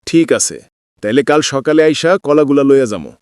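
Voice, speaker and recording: A man plays a friendly village trader in a cartoon folktale, businesslike and neighbourly. His mid-pitched voice swings expressively, in Bangladeshi Bengali dialect, recorded dry and close. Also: sing-song, a little fast, darkish tone, smooth, no room sound, lightly acted. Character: friendly village trader, older-brother type, talking business. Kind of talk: cartoon fairytale dialogue.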